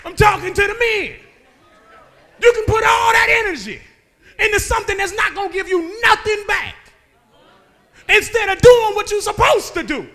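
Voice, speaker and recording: A man, preaching a sermon that moves between joking talk and shouted calls to the men. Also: extremely high pitch, lively intonation, moderate pace, pauses often. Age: 30s. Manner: conversational preaching that builds to shouting, with laughs and rhetorical questions. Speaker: guest preacher. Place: church sanctuary.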